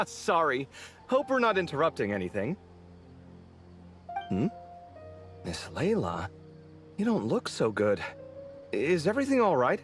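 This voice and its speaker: Polite, expressive young anime man. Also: fairly high pitch, unhurried, long pauses, faint music behind. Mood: apologetic, concerned. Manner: apologetic, gentle. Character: friendly, polite, considerate young man in fantasy. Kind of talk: anime game voice acting.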